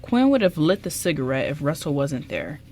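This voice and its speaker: A woman's voice: low voice, huge pitch swings, moderate pace, a little mellow, slight background noise. A woman reading scripted narration for an amateur radio drama as its narrator.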